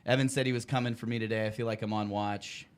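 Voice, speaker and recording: A man's voice: lowish voice, fairly even intonation, quick pace. A man hosting a podcast with casual, joking banter among friends. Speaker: podcast host.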